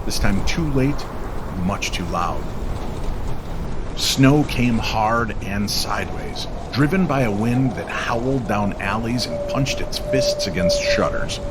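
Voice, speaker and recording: A man's voice: mid-range voice, background noise. A man narrating a scripted eerie tale in an old-time radio style.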